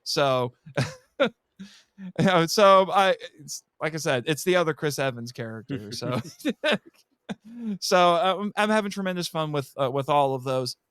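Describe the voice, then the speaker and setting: A man's voice: fairly high pitch, lively intonation, measured pace, a bit bright, smooth, no echo, clean studio sound. A man chatting casually as a podcast guest, telling things in a rambling, theatrical way.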